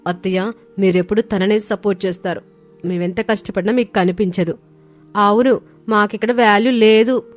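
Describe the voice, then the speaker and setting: A woman's voice: medium pitch, steady tone, moderate pace, close to an everyday voice. A woman voicing a haughty, city-bred young daughter-in-law, boastful and resentful, with a dismissive, complaining manner. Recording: studio voice over background music.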